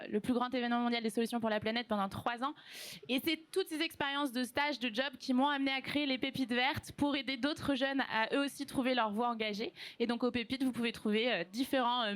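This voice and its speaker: A woman's voice: a little high, a bit bright. A young woman from western France introducing herself and her work as a guest on a live panel. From Maine-et-Loire, western France. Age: young adult.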